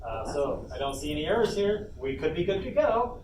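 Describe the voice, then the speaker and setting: A man's voice: a little high, very animated, brisk. A man presenting a casual live workshop demonstration, joking in a self-deprecating way. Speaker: panel presenter running a workshop. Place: convention panel room before a small audience. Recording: echoey room, distant mic, noisy.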